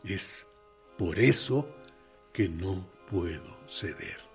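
An actor in a radio drama playing a tormented torturer captain, confessing with anguish and swinging from hushed to loud. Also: male voice, deep voice, expressive, drawn-out words, creaky, faint music behind, heavily acted. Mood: anguished confession.

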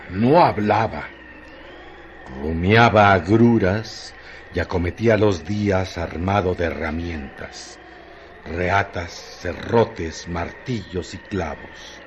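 A man narrating a literary radio drama in a deliberate, emphatic, dramatized way. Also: low-pitched, background noise.

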